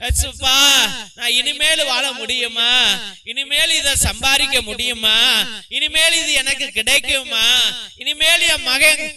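A man's voice: very high-pitched, a little slow, sharp tone. A man leading an impassioned prayer in a wailing, pleading lament full of repeated cries.

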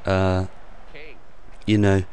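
A young man with a croaky voice hosting his gaming channel, rambling off the cuff with lots of ums and uhs over game footage. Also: low-pitched, completely flat delivery, drawn-out words, darkish tone.

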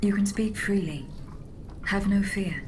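Game automaton played by a woman: poised female servant, formal, restrained, medium pitch, dutiful. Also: measured pace, a little mellow, a little hiss or hum, lightly acted. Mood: calm, gently attentive. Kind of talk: video game cutscene acting.

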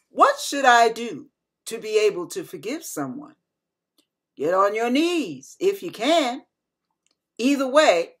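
Female minister for devotional read: unhurried, husky-edged voice, earnest and instructive. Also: medium pitch, a bit bright. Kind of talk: scripted devotional sermon.

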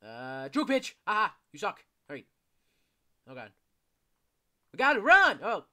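A young man giving casual, excitable commentary while playing a video game, swearing often and yelling when chased. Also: high voice, a little slow. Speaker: gameplay commentator. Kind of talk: casual live gameplay commentary.

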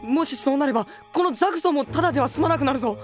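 An earnest, excitable young fellow in an anime audio drama. His high voice moves up and down a lot, formal and polite, over clear background music. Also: rapid-fire. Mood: alarmed, urgent.

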